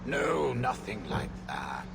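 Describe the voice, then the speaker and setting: An actor playing a haughty, money-minded mine owner with a French accent and a condescending manner, in video game dialogue. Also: male voice, lowish voice, big pitch swings, slow pace, crowd noise, full character voice. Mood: evasive, businesslike. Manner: condescending, smooth-talking.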